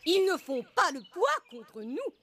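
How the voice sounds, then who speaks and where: A woman voicing a small, feisty, combative bird-like cartoon animal with a shrill, squawky voice. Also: very animated, measured pace, a bit bright, heavily acted. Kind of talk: dubbed cartoon acting.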